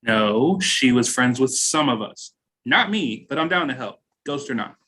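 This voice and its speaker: A man's voice: mid-range voice, lively intonation, unhurried, pushed and bright, slight background noise. A man playing a blunt, unsentimental but willing young friend in a comic-book table read.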